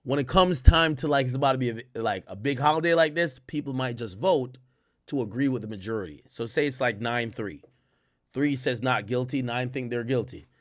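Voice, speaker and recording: A man's voice: mid-range voice, brisk. A man in his thirties, born in Jamaica, chatting loosely to his stream chat with slang and swearing, wearing a headset indoors. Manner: loose, rambling, profane, heavy slang. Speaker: livestream host. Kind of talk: casual livestream commentary to chat. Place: indoor home streaming room.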